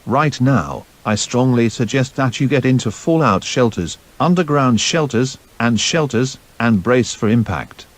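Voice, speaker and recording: A man's voice: medium pitch, lively intonation, a little slow, few pauses. A man playing a solemn, defiant United States President giving a formal scripted address in an audio drama.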